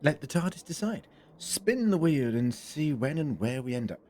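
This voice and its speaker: A man's voice: on the high side, big pitch swings, moderate pace, a little mellow, a little hiss or hum. A man playing a whimsical, worldly alien time traveller in an audio drama, musing eloquently and playfully.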